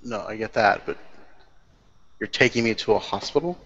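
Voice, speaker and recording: Gravelly, grudging changeling man acted by a man. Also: fairly low pitch, animated, normal speed, fairly bright tone, lo-fi sound. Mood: reluctant, disgusted. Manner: weary, grudging, sardonic. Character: grumbling, reluctant male changeling who loathes sterile places.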